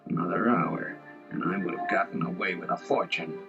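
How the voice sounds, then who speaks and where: A deep-voiced, sly thief, greedy and smug. He gloats like a storybook villain, on a dull lo-fi tape with music clearly behind him. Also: lively intonation, a little fast. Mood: gloating, resentful. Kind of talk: children's audio storybook acting.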